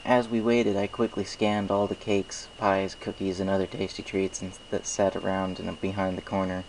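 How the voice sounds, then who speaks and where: A man with a fairly low voice narrates a story in the first person. He reads from a script as the narrator. Slight noise runs behind his voice. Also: flat delivery, normal speed, darkish tone, low-quality recording. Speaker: fan fiction narrator.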